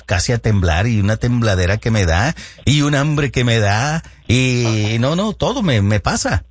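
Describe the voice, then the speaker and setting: A man's voice: medium pitch, husky, no room sound. Reassuring male radio host. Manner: reassuring, repetitive, addressing listeners directly. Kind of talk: radio call-in advice.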